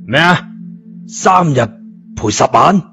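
A man's voice: on the high side, lively intonation, takes it slowly, vocal fry, pushed and bright, faint music behind, voice pushed up, heavily acted. A man voicing a hot-headed, blunt and scornful younger brother in an audiobook, protective of his sister. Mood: scornful, angry. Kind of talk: audiobook character dialogue.

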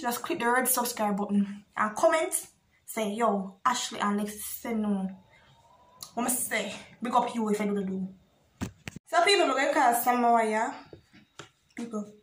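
A woman's voice: a little high, a bit bright, a little hiss or hum. Young Jamaican woman, echoey room. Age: young adult. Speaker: video blogger. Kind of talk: casual chat to camera. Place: small bare indoor room.

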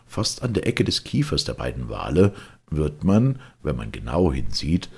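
A man reading literary prose as an audiobook narrator, with a gravelly, husky voice and measured, deliberate phrasing. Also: a bass voice.

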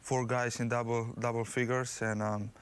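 A man in his thirties with a Slovene accent, a basketball player answering postgame questions modestly with many pauses and fillers. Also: medium pitch, level tone, clean tone. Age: 30s. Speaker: pro basketball player, guest. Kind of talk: postgame interview. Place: TV studio set.

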